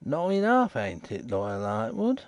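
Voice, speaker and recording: A man's voice: mid-range voice, big pitch swings, unhurried, gravelly, darkish tone, no room sound, clean studio sound, pitched above the performer's own voice. A man voicing a shifty, indignant riverside informer, insistent and repetitive.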